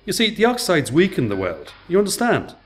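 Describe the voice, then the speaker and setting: A man's voice: sits high, wildly swooping pitch, fairly quick. An actor with an Irish accent playing a patient, kindly tradesman in a radio play, explaining and encouraging in informal speech. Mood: instructive. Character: patient, kindly male tradesman mentoring a young apprentice.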